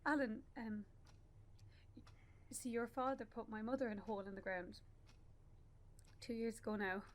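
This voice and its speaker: A young Irish mother in mourning, played by an actress, with a fairly high voice. She speaks haltingly, with pauses and repetition, anguished yet stubborn, in a naturalistic radio drama. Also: fairly even intonation, a little hiss or hum, natural voice. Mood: sorrowful reminiscing. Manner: halting, with pauses and repeated phrases. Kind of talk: naturalistic radio play acting.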